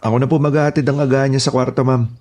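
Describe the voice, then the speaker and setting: A man's voice: mid-range voice, flat delivery, very fast, darkish tone, natural voice. A man playing a polite, obedient houseboy and gardener who speaks deferentially in an audio horror drama. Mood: respectful. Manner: deferential, eager to report.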